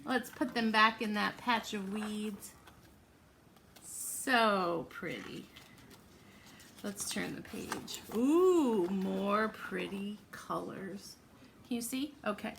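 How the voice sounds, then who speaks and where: A woman reads a picture book aloud to young children like a teacher, pausing to ask them questions and explain words simply. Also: medium pitch, animated, vocal fry, fairly bright tone, a little hiss or hum. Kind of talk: picture-book read-aloud with chatty asides.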